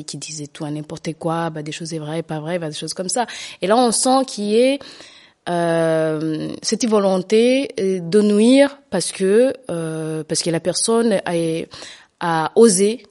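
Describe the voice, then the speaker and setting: A woman's voice: on the low side, a little mellow. A middle-aged woman giving personal testimony in an interview, speaking hesitantly and often repeating herself as she searches for words. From Rwanda. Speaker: genocide survivor giving testimony.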